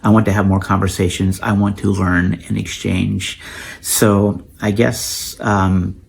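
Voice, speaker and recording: A man's voice: low-pitched, level tone, a little slow, gravelly. A man from the United States introducing himself in his first video post, friendly and enthusiastic, with many ums.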